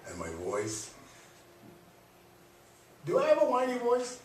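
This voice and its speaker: A man's voice: extremely high pitch, huge pitch swings, takes it slowly. Joking male radio host in an echoey room. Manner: joking, teasing, loose and playful. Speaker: radio talk show host. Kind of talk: casual banter on a local radio show. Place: radio studio. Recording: echoey room, distant mic, faint noise.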